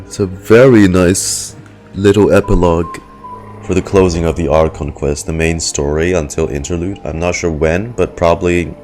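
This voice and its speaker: A man gives casual live commentary on a game. His voice is low, and he pauses to think as he reflects. Soft music plays in the background. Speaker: streamer reacting to a game.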